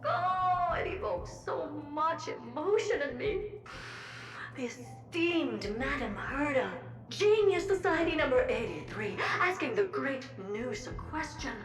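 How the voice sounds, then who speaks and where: A high-voiced, wildly animated female mirror spirit from a video game, theatrical and adoring. She gushes playfully, and sits back in an echoey space with loud music behind her. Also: measured pace, distant mic, full character voice. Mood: dramatic awe.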